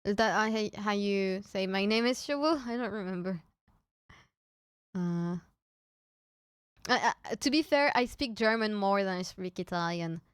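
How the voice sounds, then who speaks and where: Slow, stammering young woman streamer. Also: mid-range voice, smooth, dry recording. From France. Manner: stammering, restarts words, giggly. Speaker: VTuber streamer. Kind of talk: casual live-stream chat with viewers.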